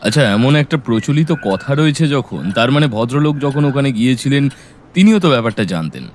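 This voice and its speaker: A man playing a calm, analytical private detective in an audio story, reasoning and questioning in a measured way. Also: mid-range voice, a little hiss or hum, close to an everyday voice. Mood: probing.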